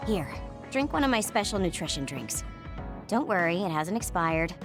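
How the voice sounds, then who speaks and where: A woman's voice: lowish voice, very animated, moderate pace. An actress playing a lazy, laid-back young woman in a video game. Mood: casual, teasing. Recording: music behind the voice.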